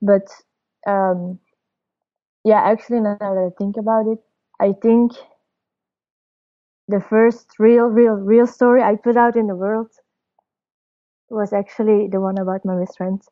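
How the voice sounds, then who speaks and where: Slow-talking young woman. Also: mid-range voice, flat delivery. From Belgium. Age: 20s. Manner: hesitant, searching for words, laughs softly. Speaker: storyteller guest. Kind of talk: casual interview answers. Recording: dull lo-fi audio.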